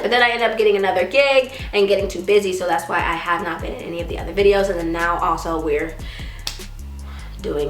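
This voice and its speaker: A woman in her twenties from Texas, chatting casually as she answers viewer questions, giggling often and sometimes trailing into a soft mumble. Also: mid-range voice. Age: 20s. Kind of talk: casual chat. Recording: roomy echo with faint music.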